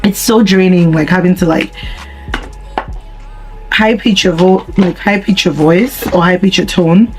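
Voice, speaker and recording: Husky young Nigerian woman chatting. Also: on the low side, measured pace, pauses often, faint music behind, poor audio. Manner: slangy, rambling, deadpan humor. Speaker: vlogger. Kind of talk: casual chat while doing makeup.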